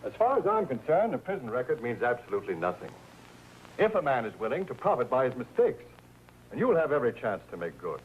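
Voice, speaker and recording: Frank, measured rich employer, male actor, tactful and businesslike with lively pitch swings, noisy vintage crime-drama soundtrack. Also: lightly acted. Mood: reassuring. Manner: deliberate, businesslike, hesitating tactfully. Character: measured, frank, well-off husband hiring a chauffeur. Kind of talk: 1950s crime drama acting. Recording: dull, noisy old film soundtrack.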